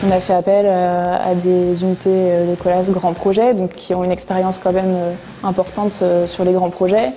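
A woman in her twenties speaks in a flat, even, mellow tone that sits low for her. She is hesitant and fills pauses often. Soft music plays under her in a site office. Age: 20s. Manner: hesitant, many fillers. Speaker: young engineer. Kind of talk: documentary interview. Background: soft background music.

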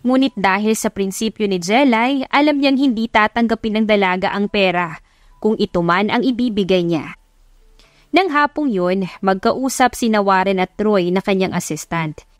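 A woman's voice: mid-range voice, normal speed, no room sound, studio quality. A woman giving scripted narration of an audiobook love story.

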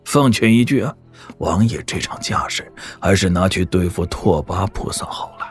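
An actor playing a haughty, scheming martial master in an audio drama, cold and sardonic with a rough husky voice. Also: male voice, low voice, animated, normal speed, faint music behind.

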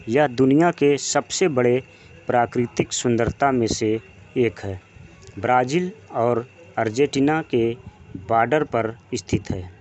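Slow, measured man narrating. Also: medium pitch, a little mellow. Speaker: channel narrator. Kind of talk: scripted voice-over narration. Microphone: voice-over.

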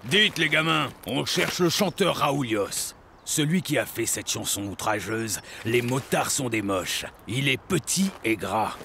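Casting: tough, sentimental older biker leader, animated series, gravelly and expressive. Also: male voice, mid-range voice, measured pace, a little hiss or hum, exaggerated voice. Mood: gruff. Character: tough aging biker gang leader, sentimental mama's boy. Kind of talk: French cartoon acting.

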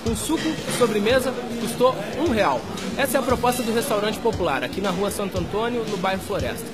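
A man's voice: sits high, lively intonation, a little fast, loud music behind the voice, over a noisy crowd. Young male street reporter. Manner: clear, explanatory delivery to camera. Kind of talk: on-location news report. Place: outdoors on a city street and inside a canteen.

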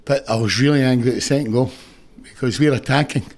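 A man's voice: mid-range voice, fairly even intonation, quick pace, a little mellow. A Scottish man in his sixties, a football manager giving a frank, annoyed post-match interview into a close stand mic in an indoor press room. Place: indoor press room before a sponsor backdrop. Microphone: stand mic close in front.

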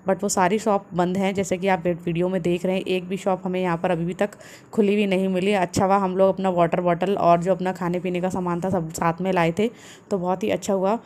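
A woman, calmly narrating a family travel vlog as a voice-over. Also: medium pitch. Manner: calm, explanatory, steady. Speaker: travel vlogger.

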